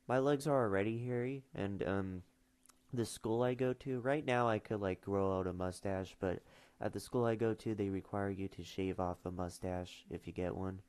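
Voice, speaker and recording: A man's voice: on the low side, moderate pace, darkish tone, smooth, no echo. A teenage boy streaming casually, mumbling and trailing off with fillers as he reads questions aloud. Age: teens. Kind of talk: casual livestream chat.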